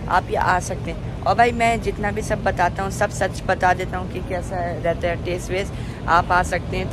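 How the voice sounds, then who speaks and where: A teenage boy chatting casually to viewers as a food vlogger, filming himself on a phone held at arm's length outside at night. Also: high voice. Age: teens. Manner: chatty, addresses viewers as brother. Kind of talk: casual vlog narration. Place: outdoors on a city street at night, traffic around. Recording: phone video with loud street noise.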